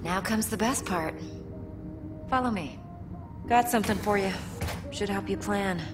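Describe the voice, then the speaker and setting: An actress playing a sultry, manipulative woman fixer in a video game cutscene, speaking slowly and teasingly in a low, breathy, often whispered voice. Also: natural voice. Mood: sultry, cool. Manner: slow, teasing, deliberate. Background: music.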